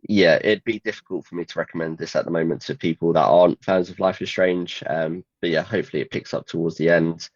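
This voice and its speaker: A man's voice: low voice, steady tone, quick pace, few pauses, dry recording, highs cut off. A man co-hosting a casual gaming podcast, laid-back, laughing easily and swearing casually. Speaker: podcast co-host.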